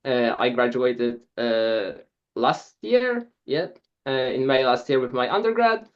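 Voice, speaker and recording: A man's voice: medium pitch, animated, slow, muffled recording. A man, giving an informal club presentation in a hesitant way with many filler sounds. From Poland. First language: Polish.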